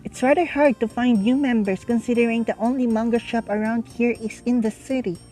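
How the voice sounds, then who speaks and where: A woman reading a visual novel aloud with casual asides, halting and stumbling over words as she goes. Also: a little high, steady tone, warm tone, faint music behind. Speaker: reader and commentator.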